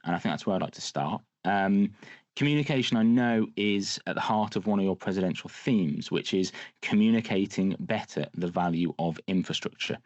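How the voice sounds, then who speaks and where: A man with a lowish voice hosts a podcast interview, upbeat and encouraging toward his guest. The sound is dry and a little dull, as if the top end has been rolled off. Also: normal speed, a little mellow, dry recording. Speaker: podcast host.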